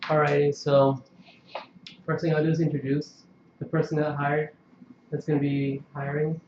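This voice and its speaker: A man's voice: on the high side, flat delivery, normal speed, noisy background. Young man, muffled and distant. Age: young adult. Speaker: student government member. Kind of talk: casual meeting chat. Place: meeting room. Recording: distant muffled mic in echoey room.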